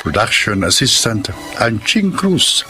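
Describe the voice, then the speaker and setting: A man announcing the moral, credits and the next story of a radio drama in a formal, measured way. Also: on the low side, very animated, bright, forceful tone. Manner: slow, measured, formal delivery. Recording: music under the voice.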